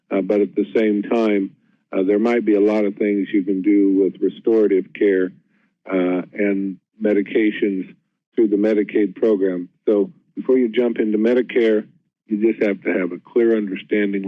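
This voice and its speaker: A man on a panel giving an unscripted, measured and cautionary explanation over a phone. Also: fairly low pitch, no echo. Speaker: webinar panelist. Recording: tinny, narrow phone line.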